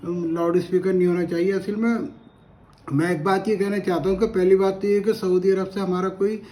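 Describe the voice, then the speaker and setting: A man speaks in a fairly high-pitched voice. He delivers opinion commentary on current affairs, earnest and argumentative. He often restates a phrase to drive it home. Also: flat delivery, brisk, warm tone. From India. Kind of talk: spoken opinion commentary to camera.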